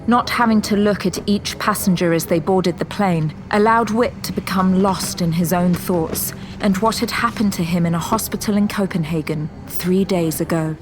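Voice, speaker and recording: A woman's voice: fairly low pitch, moderate pace, background noise. A woman narrating a scripted audio drama in a storytelling role.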